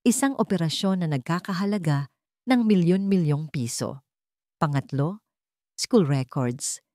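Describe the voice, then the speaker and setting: A woman narrator reading a scripted romance drama in a measured, dramatic storytelling manner. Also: on the low side, animated, dark tone, no room sound, studio quality.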